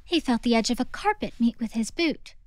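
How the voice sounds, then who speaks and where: A woman's voice: fairly high pitch, animated, a little fast. A woman narrating a theatrical audiobook from a script, in a storytelling style.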